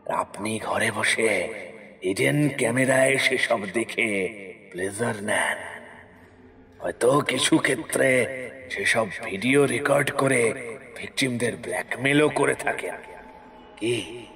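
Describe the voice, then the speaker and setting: A man playing a stern, sorrowful, quietly menacing ghost in an audio horror story, speaking in a measured, deliberate way. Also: mid-range voice, gravelly, a crowd in the background. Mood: stern accusing.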